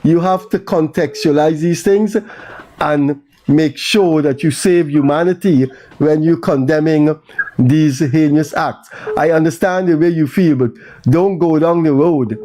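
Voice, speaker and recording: A man hosts a call-in radio show and answers a caller in a measured, lecturing way. He speaks very slowly, and his voice is dark and mellow with a slightly raised pitch. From Guyana. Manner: measured, lecturing, repeats words when pressing a point. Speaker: radio talk show host.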